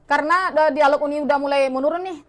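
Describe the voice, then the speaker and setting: A woman's voice: high-pitched, steady tone, fast. A middle-aged woman from West Sumatra, chatting casually as a podcast guest and often repeating her phrases. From West Sumatra, Indonesia. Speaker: podcast guest, online content creator.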